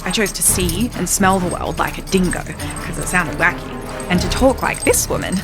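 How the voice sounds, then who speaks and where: A woman's voice: lowish voice, normal speed, natural voice. A woman playing a wise, self-assured talking poodle prophet in a comedic audio drama, speaking with grand, kindly earnestness. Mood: matter-of-fact. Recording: music behind.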